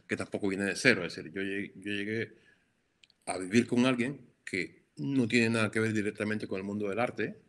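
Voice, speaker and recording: A man's voice: lowish voice, fairly bright tone. A middle-aged Cuban man with a Cuban Spanish accent, answering interview questions in a reflective way, often restarting and repeating words. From Cuba. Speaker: photographer, interview guest. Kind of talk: conversational interview.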